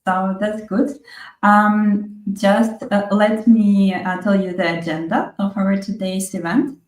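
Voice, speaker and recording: A woman's voice: medium pitch, level tone, slow pace, darkish tone. A woman hosting an online event and introducing the speaker and agenda. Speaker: webinar host. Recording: roomy, echoing online call.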